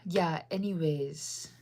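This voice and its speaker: Chatty young American woman, low-pitched. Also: a little mellow. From United States. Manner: rambling, chatty, lots of fillers and self-corrections. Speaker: livestreamer reading chat. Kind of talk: casual livestream chat.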